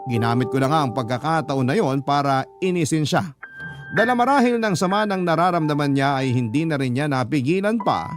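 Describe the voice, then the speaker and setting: A man with a Filipino accent as a resentful householder, narrating a radio drama in a measured, confessional way. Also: on the high side, close to an everyday voice. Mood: resentful, reflective. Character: resentful householder confiding a story of hidden anger. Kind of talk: scripted first-person radio drama narration. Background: prominent background music.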